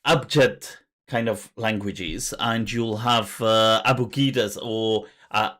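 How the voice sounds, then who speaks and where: A man hosting a podcast interview, speaking in a thoughtful, halting way as he searches for words. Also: on the low side, a bit bright. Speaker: podcast host. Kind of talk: conversational interview.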